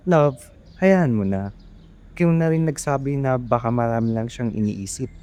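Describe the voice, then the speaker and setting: A man with a Filipino accent playing a gentle, polite young boyfriend in an amateur radio drama. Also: medium pitch, moderate pace, clear, resonant tone, close to an everyday voice. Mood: tender.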